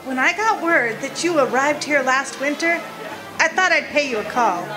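A woman's voice: high-pitched, animated, normal speed, over music, poor audio. A woman playing a stern, judgmental, prim mother who scolds, in a radio drama. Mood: scolding.

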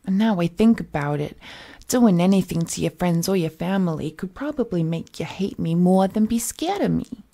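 A woman voicing an unhinged, possessive female supervillain kidnapper in an intimate roleplay audio, coaxing and doting with slangy speech and breathy whispers. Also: fairly low pitch, lively intonation, normal speed, mellow. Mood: doting, playful.

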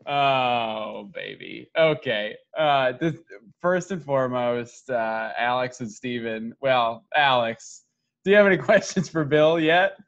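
A man's voice: mid-range voice, expressive, creaky, fairly bright tone, lo-fi sound. A man moderating a group video call, joking and laughing as he hands out the floor. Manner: joking, laughing, slow and easy. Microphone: webcam on a video call.

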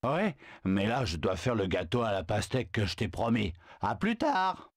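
A man's voice: on the low side, very animated, moderate pace, no room sound. A lovestruck male show-off, boastful, stammering, dry sound. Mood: smitten. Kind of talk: video game dubbing.

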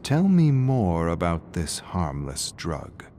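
A man voicing a confident, vengeful office underdog in a narrated story, deliberate and self-assured. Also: low voice, huge pitch swings, mellow, faint music behind, heavily acted.

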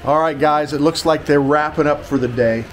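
A man's voice: on the high side, fairly even intonation, moderate pace, a crowd in the background. A man in his fifties with an American accent, chatting casually as a vlog host in a joking, rambling, informal way. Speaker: vlogger and host. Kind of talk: casual handheld vlog chat. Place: tiled room and open-fronted home shop. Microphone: handheld action camera at arm's length. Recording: noisy handheld camera audio.

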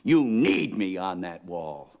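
A defiant, commanding military officer. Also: male voice, low voice, very animated, slow, creaky, heavily acted. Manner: forceful, lecturing, shouting at peaks.

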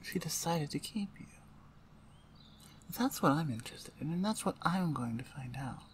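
A woman voicing a blunt, domineering orc shaman, an older sister, in a deliberate, condescending ASMR roleplay. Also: deep voice, lively intonation, slight background noise. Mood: curious, probing. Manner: deliberate, pointed, condescending, interrogating. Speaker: audio roleplay voice actor. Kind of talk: ASMR roleplay, one-sided dramatic dialogue.

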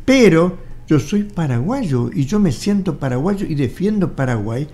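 A man's voice: on the high side, unhurried. Older Argentine man telling a story. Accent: Argentine Spanish. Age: 80s. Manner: animated storyteller acting out remembered dialogue. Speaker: guest. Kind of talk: storytelling interview. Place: studio with wall photos. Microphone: studio mic on boom arm.

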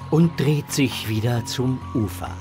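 A man serves as narrator of a children's audio drama. His scripted storytelling is mid-pitched and set over music. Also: unhurried.

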